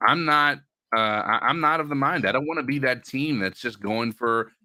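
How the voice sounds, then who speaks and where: A man co-hosting a casual sports podcast, talking through his view with halting restarts. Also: medium pitch, sharp tone, no echo. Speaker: podcast co-host.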